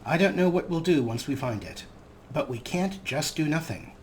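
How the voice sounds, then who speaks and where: A man's voice: fairly high pitch, lively intonation, moderate pace, a little hiss or hum. A man hosting a let's play, giving wry, musing commentary on his character in the third person.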